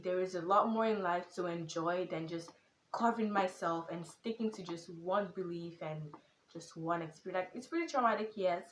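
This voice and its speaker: A teenage girl with a Nigerian accent that carries hints of British and American, talking straight to camera in a casual vlog. Her voice sits fairly low for a girl, and she speaks with earnest, animated emphasis. The room around her is echoey. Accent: Nigerian, with some British and American. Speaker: content creator.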